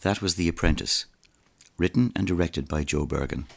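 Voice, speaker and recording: A male announcer reads scripted credits in a deep voice with heavy creak. The sound is close and dry. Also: moderate pace, no echo, soft background music.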